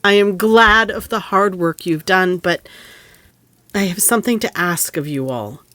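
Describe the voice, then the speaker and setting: An actress in an audio play playing a kindly middle-aged mother with a gentle, measured manner. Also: lowish voice, slight background noise. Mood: earnest. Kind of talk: audio play acting and storytelling.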